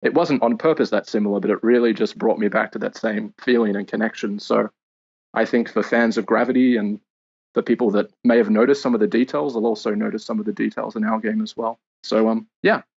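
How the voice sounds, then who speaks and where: An Australian man, a game lead designer, answering interview questions in a measured, explanatory way with filler pauses. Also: lowish voice, fairly even intonation, creaky, a little mellow, breathy edge. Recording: dull lo-fi audio.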